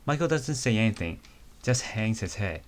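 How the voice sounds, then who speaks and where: A man reading the narration of a wry, caring woman soldier in an amateur comic reading, with a plain, low-key delivery. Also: lively intonation, normal speed, natural voice.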